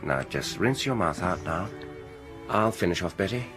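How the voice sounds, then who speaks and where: An actor playing a retiring British dentist in a radio drama, soft-spoken and measured, courteous with a quietly sinister edge. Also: male voice, low voice, expressive, music in the background. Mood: smoothly courteous.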